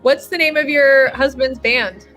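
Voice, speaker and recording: A woman's voice: high voice, fairly even intonation, a little slow, fairly bright tone, faint music behind. A woman hosting a webinar in casual chat over a video call, bubbly and laughing often. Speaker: webinar host.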